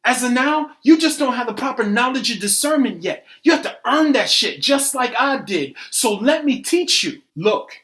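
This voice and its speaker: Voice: medium pitch, expressive, normal speed, close to an everyday voice. A performer playing an arrogant, self-righteous believer in a satirical dialogue, speaking with emphatic, impatient condescension and swearing. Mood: exasperated, preachy.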